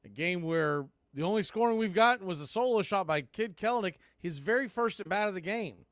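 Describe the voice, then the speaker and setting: A man calling a baseball game play by play, rising to excited calls on big plays. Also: high-pitched, a little fast, dry recording, studio quality. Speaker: play-by-play announcer.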